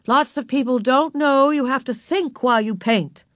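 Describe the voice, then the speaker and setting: Woman as a firm art teacher, raised pitch. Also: no room sound, studio quality, lightly acted. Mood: firm, encouraging. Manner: measured, instructing a class.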